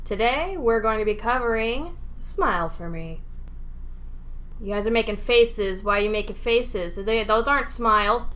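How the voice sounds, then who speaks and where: A woman's voice: a little high, expressive, moderate pace, fairly bright tone. A woman co-hosting a podcast, talking casually about video games. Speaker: podcast co-host.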